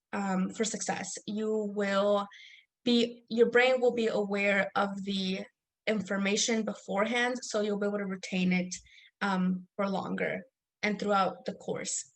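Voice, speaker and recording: A young woman born in Mexico with Spanish as her first language, presenting a study-skills workshop as an academic coach in an organized, instructional way. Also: mid-range voice. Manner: organized, instructional, frequent um fillers.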